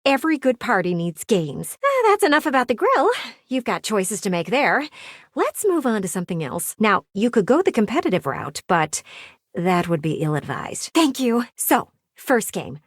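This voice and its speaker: A woman's voice: mid-range voice, big pitch swings, moderate pace, dry recording, studio quality. A woman voicing a video game character, a talking house window who is bossy and theatrical, sweetly condescending and teasing. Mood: enthusiastic, bossy.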